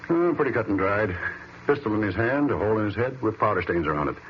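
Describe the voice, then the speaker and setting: An actor playing a plain-spoken, dry American medical examiner in an old-time radio drama. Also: male voice, lowish voice, expressive, fast talker, natural voice. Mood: dry, matter-of-fact. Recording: muffled, hissy vintage radio recording.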